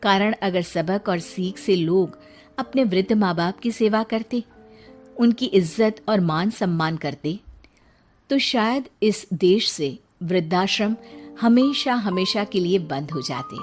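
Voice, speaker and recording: A woman's voice: mid-range voice, animated, slow. A woman reading a scripted story as its narrator. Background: background music.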